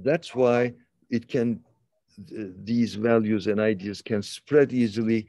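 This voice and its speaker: A man from Turkey hosting a conversation over a video call, talking slowly with many fillers and restarts. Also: on the low side, dark tone, no echo. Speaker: interview host. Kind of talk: casual conversation.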